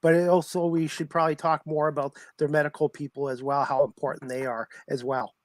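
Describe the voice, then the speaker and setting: A man's voice: a little high, little pitch movement, brisk, no room sound. A man hosting a sports talk show, chatting casually and informally with a guest and often repeating himself. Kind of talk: casual interview chat.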